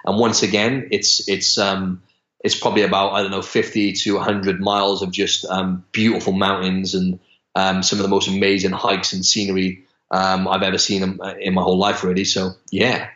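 A young man chats as a podcast guest in a fairly low voice, stumbling through restarts and fillers. His voice rings around an echoey room, and the recording sounds a little dull. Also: completely flat delivery, normal speed, fairly bright tone. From Wales. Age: young adult. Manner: chatty, many restarts and fillers. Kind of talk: casual interview chat.

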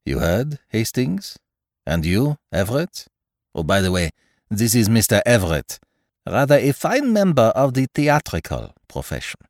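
A man voicing a shrewd, self-assured detective in a mystery audiobook, brisk, precise and gently chiding. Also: lowish voice, huge pitch swings, dry recording, studio quality. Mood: brisk, satisfied. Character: shrewd, self-assured, fussy male detective, calmly superior. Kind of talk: dramatised audiobook reading of a mystery story.

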